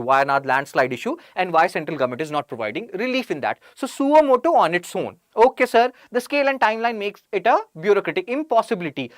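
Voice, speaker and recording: An Indian English woman teaches an online exam-prep class in a lively, bouncing voice. She chats, throws in sarcastic jokes and calls out her students by name. The sound is dry and close. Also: medium pitch, dry recording. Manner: chatty, jokes and sarcastic asides; reads out student names from chat.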